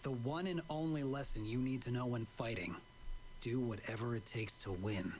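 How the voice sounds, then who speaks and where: An actor playing an aloof, ruthless mercenary in a fantasy visual novel, terse and deadpan. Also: male voice, mid-range voice, normal speed, slight background noise, natural voice. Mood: cold, deadpan. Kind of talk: visual novel character acting.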